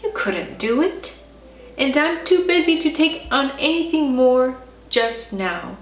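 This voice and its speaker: A woman's voice: sits high, expressive, takes it slowly. A woman voicing a weary, doubting mother who frets and cautions, in a children's storybook reading. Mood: gentle doubt. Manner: fretful, cautioning. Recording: roomy, distant mic, faint music.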